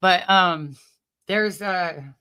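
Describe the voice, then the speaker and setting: Female vlogger, bright forward voice, informal and conversational, talking straight to the audience as host. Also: lowish voice, drawn-out words, smooth. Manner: informal, conversational, addresses listeners directly. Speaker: host of a commentary channel. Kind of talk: casual chatty commentary to viewers.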